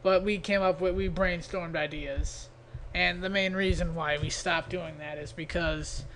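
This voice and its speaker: Male amateur commentator, slightly high pitch, casual unscripted delivery, self-deprecating and grumbly, slightly noisy home recording. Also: steady tone, unhurried, fairly bright tone. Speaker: creator of an abridged parody series. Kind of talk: casual off-the-cuff episode commentary.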